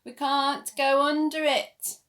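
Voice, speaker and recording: A woman reading a children's picture book aloud as a nursery storyteller, with animated, dramatic delivery. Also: high-pitched, slow pace.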